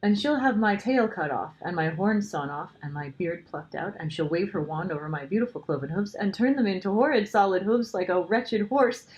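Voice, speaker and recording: A woman voicing a gentle, guilt-ridden male faun in a children's storybook, anguished and pleading in a dramatic way. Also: mid-range voice, moderate pace, few pauses, lo-fi sound, voice pushed up, close to an everyday voice. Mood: tearful, frightened. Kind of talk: children's storybook character reading.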